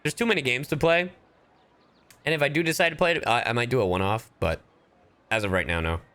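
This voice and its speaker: A man's voice: mid-range voice, quick pace, pushed and bright. A man livestreaming a video game, giving casual, blunt and joking commentary as he plays. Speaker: livestreamer, professional voice actor. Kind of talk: casual gaming stream commentary.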